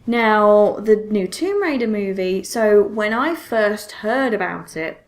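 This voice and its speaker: A woman's voice: medium pitch, animated, slow. A woman hosting a podcast in casual chat, telling things expressively with frequent self-interruptions and exclamations. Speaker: podcast host.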